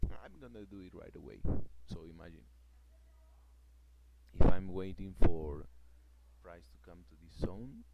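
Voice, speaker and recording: A very deep-voiced man with a Peruvian Spanish accent, talking slowly and haltingly as he explains his trading plan in a casual vlog. He keeps searching for words, and his speech is full of fillers and restarts. Loud noise runs under the whole recording. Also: lively intonation, creaky. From Peru. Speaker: forex trader vlogger.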